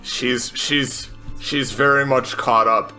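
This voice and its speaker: A man casually describing his character in a tabletop roleplaying game, halting and repeating words. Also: mid-range voice, fairly even intonation, bright, forceful tone, soft background music.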